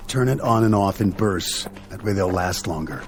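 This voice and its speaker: A man's voice: sits low, expressive, normal speed, background noise. An actor in a radio drama playing a stern military spaceman on recon, with a commanding, scolding manner. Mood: firm, impatient.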